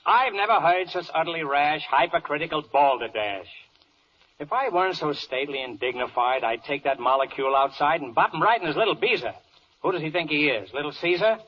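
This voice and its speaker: An actor playing a pompous, excitable, flirtatious judge in a 1940s radio verse comedy, with theatrical bluster. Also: male voice, fairly high pitch, brisk, a little hiss or hum, lightly acted. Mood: indignant, blustering. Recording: muffled vintage 1940s radio recording.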